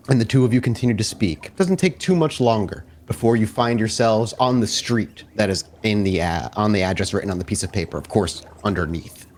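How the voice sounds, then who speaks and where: A man narrating a tabletop roleplaying game as storyteller, describing scenes off the cuff with pauses. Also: on the low side, darkish tone, slight background noise.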